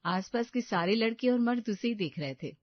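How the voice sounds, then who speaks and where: A woman's voice: on the high side, lively intonation, normal speed, no echo, dull audio. Woman narrating a story, close and dry.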